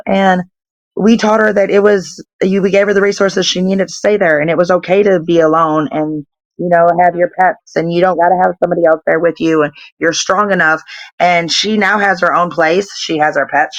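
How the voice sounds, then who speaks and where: A woman's voice: low voice, no room sound, low-quality recording. A woman around forty, a nonprofit founder and interview guest, talking in a rambling way full of fillers. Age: 40s. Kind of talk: casual interview chat.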